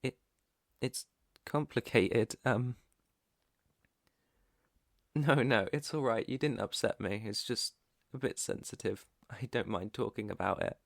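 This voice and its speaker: A British man playing an earnest, protective alpha werewolf in an ASMR roleplay, with a hesitant, stammering, soft-hearted way of talking and a creaky voice. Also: medium pitch, measured pace, no room sound, clean studio sound. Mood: hesitant, uneasy. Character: protective young male alpha werewolf, earnest, shy outcast.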